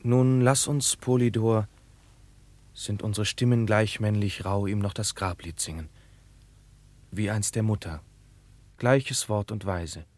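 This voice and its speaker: An actor playing a gentle, poetic young woodland brother, speaking solemn lyrical verse in a radio drama. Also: male voice, on the low side, a little slow, pauses often, no room sound, lightly acted. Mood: mournful, tender. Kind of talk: German classical radio drama acting.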